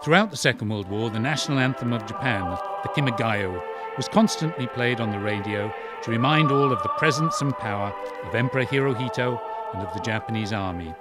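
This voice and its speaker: A man's voice: mid-range voice, fairly quick, over loud music. A man delivering scripted, formal, grave narration for a historical drama.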